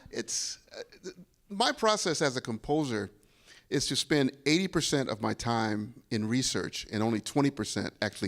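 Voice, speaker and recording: A man's voice: on the high side, gravelly, a bit bright. A middle-aged man, a bandleader and composer, talking casually and animatedly to a live audience, often restarting his sentences.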